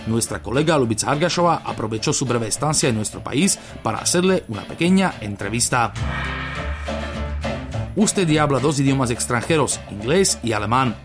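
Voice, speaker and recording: A male radio host reading from a script in a formal, measured delivery. His voice sits at an ordinary middle pitch, and soft music plays faintly underneath. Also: lively intonation.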